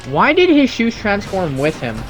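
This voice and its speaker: A slightly high-voiced man delivers sarcastic running commentary on video game cutscenes. He sounds incredulous and picky, with pitch that moves around a lot. Faint music plays behind him. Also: huge pitch swings, moderate pace, rough recording.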